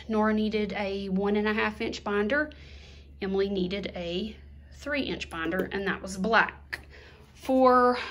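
A middle-aged woman chatting casually at her kitchen table, slowly listing the things she bought one by one. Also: mid-range voice. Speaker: vlogger, mother of two. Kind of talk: casual haul chat.

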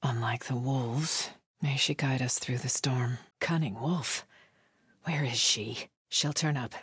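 An actress playing a stern, devout cult soldier in a video game, solemn, guarded and terse. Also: female voice, a bass voice, slow, slightly rough, no room sound, clean studio sound, exaggerated voice. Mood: solemn, watchful. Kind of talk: video game enemy dialogue.

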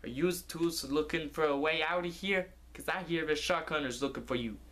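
A man's voice: fairly high pitch, normal speed, a bit bright, lightly acted. Man playing a sly, inviting waffle cone. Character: sly, friendly-seeming New York waffle cone.

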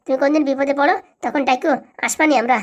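A woman's voice: high voice, steady tone, quick pace, muffled recording, natural voice. A woman voicing an indignant, threatening village uncle in a comic cartoon. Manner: scolding, indignant. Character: aggrieved, vengeful village uncle who threatens and scolds.

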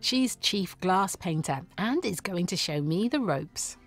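A woman reading scripted voice-over narration for a TV programme, smooth and even. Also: lowish voice, a little slow. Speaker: TV presenter. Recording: faint music bed underneath.

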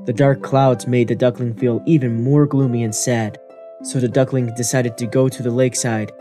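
Male narrator, children's storybook, mellow dark tone, music behind. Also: mid-range voice, little pitch movement, normal speed.

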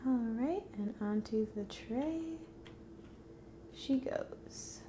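A woman in her twenties doing a soft-spoken ASMR roleplay to the listener, gentle and hushed, drifting into whispers. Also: fairly high pitch, drawn-out words, slight background noise, poor audio. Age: 20s. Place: dim indoor room at a table.

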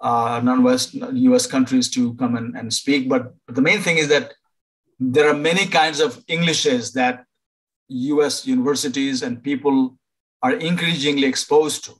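An older man from Nepal, a professor advising international students over a video call, pausing often to find words. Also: mid-range voice, steady tone, rough recording. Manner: halting, many fillers and restarts. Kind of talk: informal online presentation.

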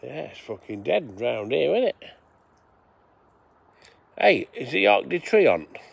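A man's voice: medium pitch, big pitch swings, slow pace, creaky, slightly rough, no echo. An English man in his forties, rambling casually to viewers at night, swearing freely. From England. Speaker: vlogger. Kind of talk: casual rambling livestream chat. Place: outdoors at night by the seaside.

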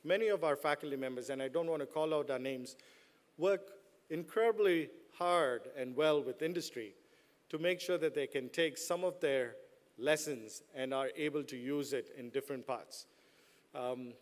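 A middle-aged man speaks into podium microphones, addressing graduates with a slightly raised voice. He drops playful jokes at the crowd between points. The sound trails off in the long reverb of a large hall. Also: a little high. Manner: joking, playful asides to the audience. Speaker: business school dean giving a commencement speech. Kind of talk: commencement address.

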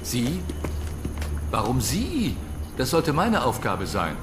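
An actor playing a cautious, earnest scientist of the colonial era in a docudrama. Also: male voice, medium pitch, wildly swooping pitch, slow, over music. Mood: surprised, offended. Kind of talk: docudrama acting.

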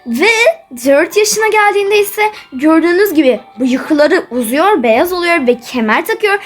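Very high-pitched female game commentator. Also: animated, moderate pace, faint music behind. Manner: gushing, chatty, often addressing viewers. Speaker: video game channel host. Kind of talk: casual game preview commentary to viewers.

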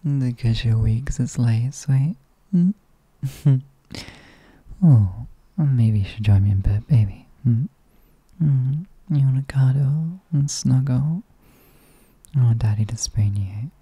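A man voicing an affectionate, teasing partner in an intimate roleplay, speaking softly and often in a whisper. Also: mid-range voice, slow pace, pauses often, husky, dry recording. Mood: teasing, doting. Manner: soft, often whispered, coaxing, chuckling. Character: affectionate teasing male partner, doting, playful. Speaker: audio roleplay performer.

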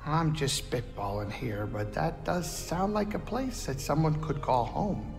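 Old alien pilot, gruff, warm-hearted, informal, lively swooping intonation, game dialogue, echoing space with music. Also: male voice, a little high, unhurried. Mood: casual, musing. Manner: hesitant, expressive, informal. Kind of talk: video game cutscene acting.

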